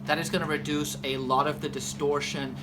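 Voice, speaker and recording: A man's voice: fairly high pitch, little pitch movement, brisk, background noise. A man hosting an informal instructional video, speaking emphatically with playful turns of phrase. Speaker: host of a gear and vehicle channel.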